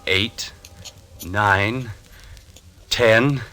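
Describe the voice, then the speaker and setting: Grave, deep-voiced duelist. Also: male voice, very slow. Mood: grave, resolute. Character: formal, grave duelist counting paces. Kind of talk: 1940s radio drama acting. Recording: noisy, crackly old radio recording.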